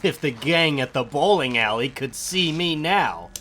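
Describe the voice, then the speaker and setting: A man's voice: medium pitch, lively intonation, unhurried, very noisy, natural voice. A man voicing a cocky, wisecracking young superhero in a comic book dramatic reading, boyish, breezy and slangy. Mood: eager, surprised.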